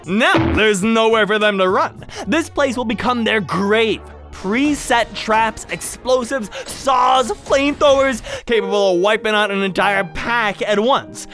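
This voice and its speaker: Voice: medium pitch, a little slow, few pauses. A host narrating a casual comedic explainer in a sarcastic, mock-dramatic way, swearing freely. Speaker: video host. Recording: background music under the voice. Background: music.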